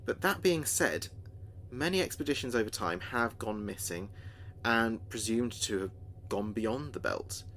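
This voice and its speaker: A man playing an earnest space communications officer, recording logs in a measured, thoughtful way for a sci-fi audio drama. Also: fairly low pitch, expressive, a bit bright, slight background noise. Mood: intrigued. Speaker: communications officer keeping a mission log. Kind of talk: audio drama log narration.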